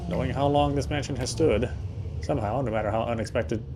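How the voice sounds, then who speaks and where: A man's voice: medium pitch, a little fast. Man narrating a story, faint background noise. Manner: occasional stumbles in reading. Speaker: game player reading aloud. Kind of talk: dramatic first-person story narration, read aloud.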